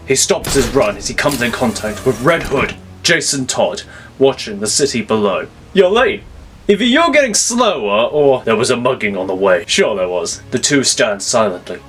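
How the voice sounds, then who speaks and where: A man's voice: medium pitch, a bit bright. A man reading scripted superhero-style story narration in a grave, theatrical manner. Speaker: narrator of fan audio stories.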